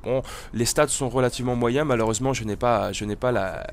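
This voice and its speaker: A man's voice: mid-range voice, a little fast, slight background noise. A man with a cold giving casual, hesitant, meandering gameplay commentary as the host of a gaming video. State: has a cold.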